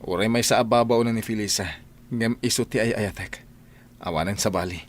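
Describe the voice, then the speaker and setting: A man's voice: on the low side, brisk, lightly acted. An actor playing a stubborn, resolute young man who stands up to his parent in a radio drama, firm and exasperated. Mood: defiant. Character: defiant grown son in love, stubborn and resolute.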